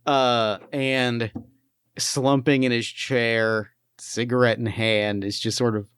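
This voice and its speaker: A man with a smooth, resonant voice runs a tabletop game and chats through it casually. He talks loosely, with plenty of fillers and restarts. The sound is dry and close, with no room around it. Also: mid-range voice, little pitch movement, takes it slowly, a bit bright, no echo. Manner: rambling, many fillers and restarts. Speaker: game master. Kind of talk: casual tabletop play and narration.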